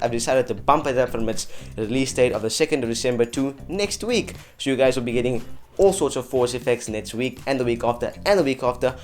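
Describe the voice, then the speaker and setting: A man's voice: mid-range voice, darkish tone. A man narrating an upbeat, scripted tutorial as host, talking chattily and directly to his viewers. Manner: chatty, addresses viewers as guys. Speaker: host of an effects tutorial channel. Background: background music.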